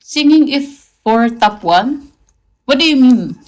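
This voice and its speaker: Female livestreamer, Filipino accent, slightly high voice, casual and playful. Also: lively intonation, slow. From Philippines. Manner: playful, chatty, mixes English into her talk. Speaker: livestream host.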